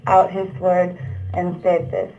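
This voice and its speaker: A woman narrates Middle English verse for a puppet show. Her delivery is slow and halting, tripping on archaic words. The tone is soft and mellow, and the sound is dull. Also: on the low side, monotone, soft background music.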